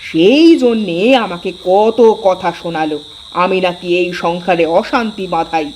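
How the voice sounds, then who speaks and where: A woman's voice: on the low side, moderate pace, darkish tone, slight background noise, close to an everyday voice. A woman voicing a bossy, easily offended elder daughter-in-law in a Bengali cartoon story. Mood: sulky.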